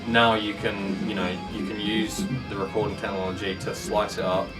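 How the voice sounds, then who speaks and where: Deep voiced guy in a band rambling casually, bit far from mic, loud guitar track under him. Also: flat delivery, normal speed. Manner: chatty, sweary, frequent "like" and "you know". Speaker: band member giving album commentary. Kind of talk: casual chat. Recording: distant mic, loud guitar music behind. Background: band's album track playing.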